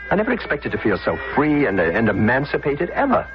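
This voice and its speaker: An actor playing a wry, breezy, rebellious upper-class son who narrates and banters in a period radio drama. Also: male voice, mid-range voice, huge pitch swings, fast. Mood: breezy, playful. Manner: wry, playful, wordy banter. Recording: dull old radio recording with music.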